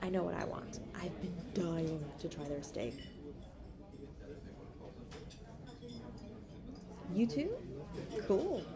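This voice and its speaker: Slow-talking, coy young woman on a date, acted. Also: fairly low pitch, big pitch swings, gravelly, a crowd in the background, exaggerated voice. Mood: friendly, eager. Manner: teasing, coy, giggly, hesitant pauses. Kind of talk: romantic roleplay audio acting.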